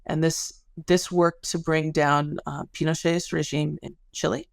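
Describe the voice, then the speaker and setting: Woman with a creaky, dry-recorded voice. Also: low-pitched, little pitch movement, a little slow, dry recording. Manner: articulate, analytical. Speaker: interview guest, sociology researcher. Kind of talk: explanatory interview talk.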